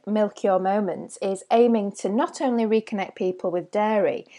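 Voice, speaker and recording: Brief: woman podcast host, mellow tone, steady scripted read. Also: mid-range voice.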